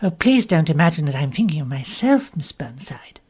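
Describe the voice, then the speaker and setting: A man's voice: fairly high pitch, big pitch swings, moderate pace, darkish tone, breathy edge, voice pushed down. A man voicing an earnest, aggrieved older lady's companion in a storybook, speaking formally and insistently. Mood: earnest indignation.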